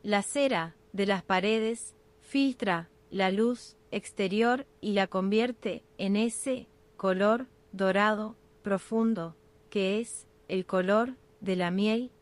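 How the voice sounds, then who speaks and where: Woman doing asmr sleep meditation narration, really slow, short phrases w pauses, medium pitch.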